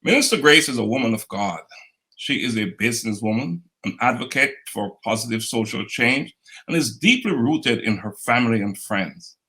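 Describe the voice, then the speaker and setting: A man hosting an interview show, speaking in a formal, polished, measured way. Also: medium pitch, expressive, pushed and bright, rough recording. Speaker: host. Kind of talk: scripted interview introduction.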